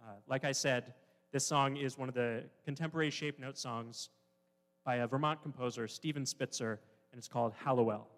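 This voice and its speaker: A man's voice: medium pitch, moderate pace. Young man speaking in a hall. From Wisconsin, US. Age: 20s. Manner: relaxed, unscripted, with fillers and self-corrections. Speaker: choir staff member introducing a song. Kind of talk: spoken introduction at a choir concert-lecture. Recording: reverberant hall.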